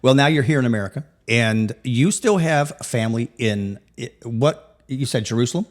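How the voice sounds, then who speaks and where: A man's voice: medium pitch, animated, normal speed. A man hosting a talk show, interviewing a guest and asking long questions with frequent repeated words.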